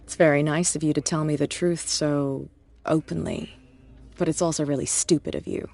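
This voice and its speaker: A man voicing a tough, shrewd male gang boss who talks in a calm, threatening, businesslike way. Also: a little high, normal speed, gravelly. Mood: menacing. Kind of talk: video game voice acting.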